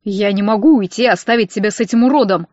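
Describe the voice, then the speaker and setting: A courteous young man in an audiobook, played by a woman, speaking in a cool, measured way. He is reserved and protective, and the recording is dry and slightly dull. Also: no echo, natural voice. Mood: restrained, concerned. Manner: low-key, cool, measured.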